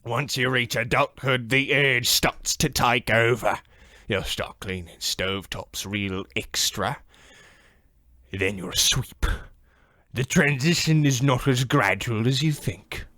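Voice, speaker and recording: A man's voice: mid-range voice, takes it slowly, pushed and bright, dry recording, studio quality. A man playing an ominous, knowing villager who speaks portentously in a comedic radio play. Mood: ominous, grave. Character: ominous, knowing villager revealing a family curse. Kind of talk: comedic old-time radio play acting.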